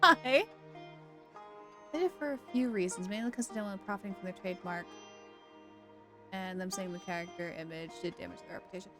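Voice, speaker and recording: A woman chatting casually on a game livestream, reacting in an animated, exclamatory way. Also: medium pitch, brisk, pauses often. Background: game music.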